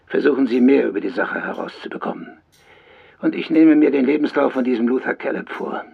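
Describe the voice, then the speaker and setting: A man acting a gruff, exasperated police sergeant in a radio drama, with a husky, brusque delivery. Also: mid-range voice, lively intonation, a little fast, narrow, tinny audio. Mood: grudging. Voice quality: husky, gravelly. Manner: brusque, scolding.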